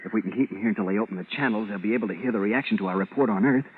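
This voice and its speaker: Male actor for a brisk space crewman, lowish voice, quick delivery, thin old radio recording. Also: narrow, tinny audio, slight background noise, natural voice. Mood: matter-of-fact. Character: practical rocket crewman reporting to his captain. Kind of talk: 1940s-50s radio drama acting.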